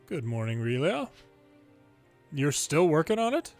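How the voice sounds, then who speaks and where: A man reading story dialogue aloud from a video game in a playful way, switching pitch between characters and adding his own commentary. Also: sing-song, measured pace, a little mellow. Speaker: longplay host reading a game's dialogue aloud. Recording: music behind the voice. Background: game music.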